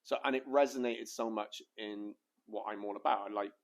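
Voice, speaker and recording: Rambling, jokey man with a low voice. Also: fairly even intonation, moderate pace. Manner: rambling, repeats words, jokey. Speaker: podcast co-host. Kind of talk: casual podcast conversation.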